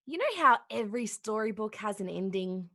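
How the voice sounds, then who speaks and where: A woman playing a gentle children's puppet show host, explaining things in a patient, careful way. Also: mid-range voice, expressive, a little fast, no echo, clean studio sound. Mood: gentle, regretful. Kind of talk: children's television host acting, play reading.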